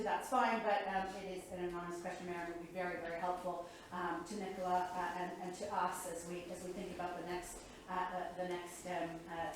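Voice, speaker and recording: A woman chairing a panel event, speaking informally and effusively, often hesitating and interrupting herself. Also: on the low side. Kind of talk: informal spoken thanks and event announcements. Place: indoor event room. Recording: very echoey room with faint noise.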